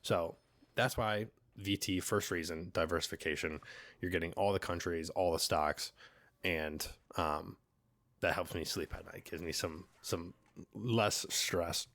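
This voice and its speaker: A man with a cold and a nasal voice, hosting a finance channel in a relaxed, chatty way with many fillers. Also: sits low, measured pace, dry recording. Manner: relaxed, filler-heavy, conversational. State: congested with a cold. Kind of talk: casual talking-head explainer.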